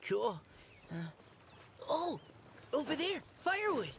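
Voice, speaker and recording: A woman's voice: high voice, sing-song, slow, crowd noise, heavily acted. A woman voicing a timid, modest, socially awkward young boy scout in a video game, speaking hesitantly with stammers. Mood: nervous. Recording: game music behind.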